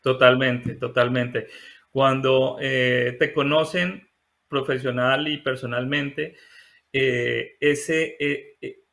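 A man in his forties from Colombia, a mechanical engineer interviewed over a video call from his living room, speaking with many pauses and fillers. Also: mid-range voice, steady tone, creaky, a bit bright. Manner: hesitant, many fillers and restarts. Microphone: laptop webcam video call.

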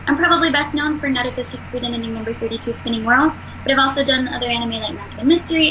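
High nasal-voiced woman chatting casually. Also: lo-fi sound, noisy background. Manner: chatty, self-deprecating, laughs often. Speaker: singer and voice actress, guest. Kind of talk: casual interview chat.

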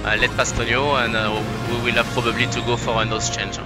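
Man, race engineer, medium pitch, giving instructions, team radio, music behind. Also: little pitch movement, fairly quick. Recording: race radio over music and car noise.

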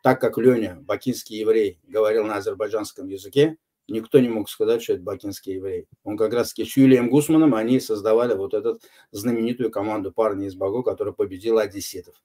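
Older man, low mellow voice, deliberate interview answers full of pauses. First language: Azerbaijani. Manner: measured, unhurried, frequent hesitations. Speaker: interview guest. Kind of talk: recorded online interview answers.

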